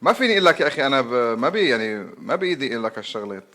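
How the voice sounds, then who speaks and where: A man's voice: medium pitch, very animated, very fast, pushed and bright. A man with a Lebanese Arabic accent making a prank phone call, taunting and goading in crude, vulgar banter. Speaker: prank caller. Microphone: telephone call. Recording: telephone prank call recording.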